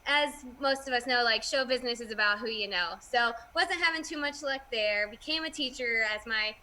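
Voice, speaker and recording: A woman's voice: a little high, moderate pace, sharp tone, a little hiss or hum. A young woman, a guest who runs a party entertainment business, chatting casually and laughing often on a video call.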